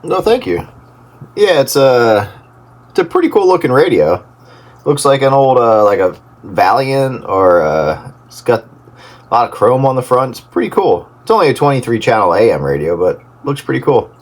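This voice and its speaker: A man hosting a casual radio hobbyist chat over CB, speaking haltingly over a two-way radio link. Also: medium pitch, sing-song. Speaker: host of a radio hobbyist round-up. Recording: very noisy CB radio transmission.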